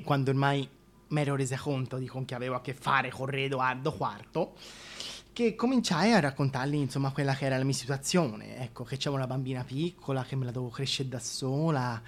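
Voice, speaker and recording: A man's voice: medium pitch, natural voice. A man playing a scheming, chatty single mother in a comic radio monologue, with a Tuscan accent and colloquial delivery. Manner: gossipy colloquial storytelling. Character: chatty, scheming single mother, a shameless working-class opportunist.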